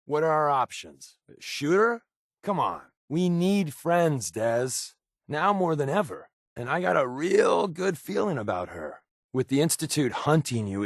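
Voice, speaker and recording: A sarcastic laid back secret agent dude for a video game, really animated pitch, super dry studio sound. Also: male voice, no echo. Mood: reassuring. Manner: wry, glib, sardonic. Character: wisecracking, laid-back male undercover rebel agent, persuasive and irreverent. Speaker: video game companion character. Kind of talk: conversational video game voice acting.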